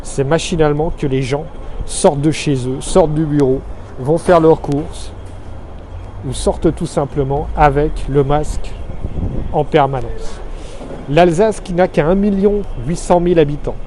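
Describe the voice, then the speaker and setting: A middle-aged man from Alsace, France, giving an earnest, measured street-side monologue full of figures, recorded outdoors on a city street. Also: on the high side, dark tone. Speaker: expatriate vlogger. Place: outdoors on a city street below his office. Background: faint street and traffic noise.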